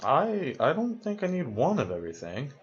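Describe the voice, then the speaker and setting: Formal, literal-minded male adventurer acted by a man, socially awkward, husky, very wide intonation, dull recording, fantasy audio drama. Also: fairly high pitch, huge pitch swings, normal speed, exaggerated voice. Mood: reserved.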